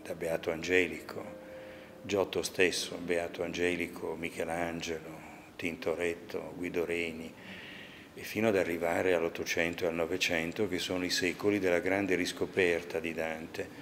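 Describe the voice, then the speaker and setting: Older man, low voice, interview explanation, short measured phrases, reverberant gallery. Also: little pitch movement, fairly bright tone. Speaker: exhibition spokesman. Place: large museum sculpture gallery, indoors. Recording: reverberant gallery hall, audible background noise.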